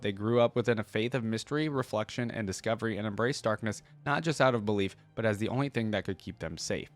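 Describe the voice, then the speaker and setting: A man hosting a reaction video, casually commenting and reading lore aloud, thinking out loud with informal asides. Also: mid-range voice, no room sound. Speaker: YouTube reaction host.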